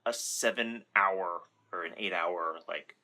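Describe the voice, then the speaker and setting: A man with a somewhat low-pitched voice that is bright and forceful. He talks like a video commentator sharing opinions off the cuff. His delivery is informal and rambling, with self-corrections and loose, sweary phrasing. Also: slow pace. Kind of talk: casual opinion commentary, unscripted.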